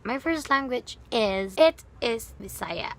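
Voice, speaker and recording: A woman's voice: fairly high pitch, expressive, slow, loud music behind the voice. A young woman from the Philippines with a Filipino accent, speaking English as a second language in a chatty, giggly student vlog. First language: Bisaya. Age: young adult. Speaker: student vlogger. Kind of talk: casual vlog talk to camera.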